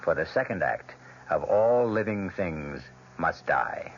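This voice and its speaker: A man hosting and narrating a radio drama with a measured, dramatic storytelling delivery. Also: deep voice, very animated. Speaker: host and narrator. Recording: muffled, noisy old radio broadcast.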